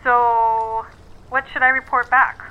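An actress playing a brisk, persuasive businesswoman on a phone call in a naturalistic audio drama, with a businesslike, coaxing manner. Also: on the high side, level tone. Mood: pressing. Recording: dull, band-limited phone line.

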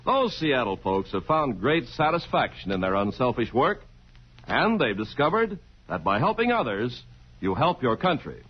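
A man's voice: mid-range voice, sing-song, normal speed. An American man announcing from a script in earnest, declamatory 1950s radio style. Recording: dull old radio broadcast.

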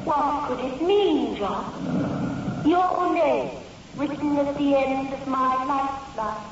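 An actress playing an anxious wife heard as a haunting memory, slow and drawn-out, with an echo effect in a radio horror drama. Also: female voice, high voice. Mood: haunting, puzzled. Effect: echo for a remembered voice. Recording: distant, heavily echoing, muffled noisy radio recording.

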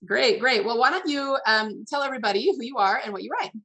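A woman's voice: on the high side, quick pace, fairly bright tone, husky. A woman hosting a writing podcast, speaking as a host and coach to her audience and guests. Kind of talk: scripted introduction, then casual interview.

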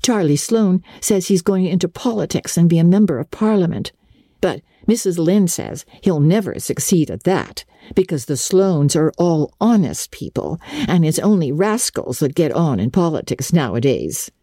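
A woman's voice: fairly low pitch, animated, moderate pace, mellow, dry recording, clean studio sound. A woman playing an earnest, imaginative, chatty schoolgirl in her early teens, full of opinions and dramatic flourishes, in a storybook reading. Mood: amused, opinionated. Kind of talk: dramatic audiobook reading of classic novel.

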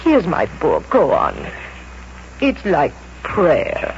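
A gentle wife lost in poetry, genteel and theatrical. She talks very slowly in the style of 1940s radio drama. The aged recording is muffled and hissy. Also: deep voice, huge pitch swings, exaggerated voice. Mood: soothing, wistful. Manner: soft-mannered, genteel, poetic, theatrical.

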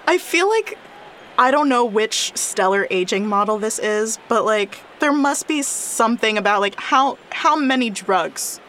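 A woman's voice: a little high, expressive, a little slow, a bit bright, slight background noise. A woman in her twenties co-hosting a casual science podcast, explaining things playfully with lots of filler words. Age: 20s. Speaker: podcast co-host.

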